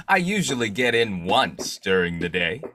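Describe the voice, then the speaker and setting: A man playing an urbane, lively-pitched newspaper boss. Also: slight background noise, heavily acted. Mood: genial. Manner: measured, polite, formal. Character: urbane, principled male newspaper publisher with sly streak. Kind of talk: old-time radio drama acting.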